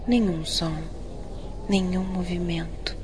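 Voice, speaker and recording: A performer narrating an epic fantasy war audio drama in a grave, deliberate, suspenseful manner. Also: medium pitch, steady tone, background noise, natural voice. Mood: solemn, suspenseful.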